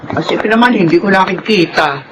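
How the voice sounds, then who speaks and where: A woman's voice: lowish voice, brisk, slight background noise, close to an everyday voice, poor audio. A woman acting as an anguished, protective Filipino mother. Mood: fond, patient. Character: anxious protective mother hiding a painful truth from her son.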